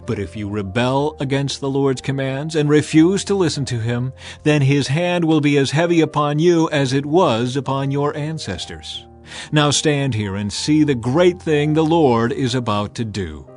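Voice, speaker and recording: A man's voice: mid-range voice, normal speed, a little mellow, faint music behind, close to an everyday voice. A man voicing a stern aged male prophet who addresses a nation in a solemn, admonishing way, in a dramatized scripture reading.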